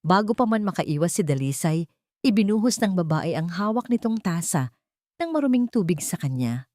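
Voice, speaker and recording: A woman tells a dramatic romance story in scripted narration. Her voice sits a little low for a woman, and the sound is dry and close, with little room around it. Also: very animated, moderate pace, darkish tone, no echo.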